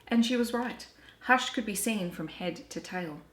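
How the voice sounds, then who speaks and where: An Australian woman reading children's storybooks aloud in an animated, deliberate storytelling way. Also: mid-range voice. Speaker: children's story reader and book vlogger. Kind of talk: expressive storybook read-aloud. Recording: roomy, echoey home recording.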